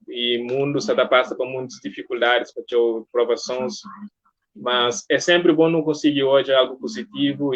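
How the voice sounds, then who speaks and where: A man's voice: medium pitch, a bit bright. A man hosts a casual live interview, reading out viewer comments in an encouraging, appreciative way. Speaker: live-stream interview host. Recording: muffled lo-fi online stream.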